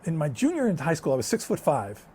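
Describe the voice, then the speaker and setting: A man's voice: a little high, fast talker, slight background noise. A man from the United States, a guest telling stories in a casual conversation. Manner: storytelling, animated and talkative.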